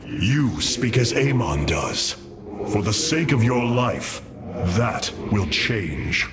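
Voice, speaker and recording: An actor playing a noble, stern alien commander in a video game cutscene, speaking in a formal, solemn and authoritative manner. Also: male voice, fairly low pitch, big pitch swings, takes it slowly, over music. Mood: firm, reproving.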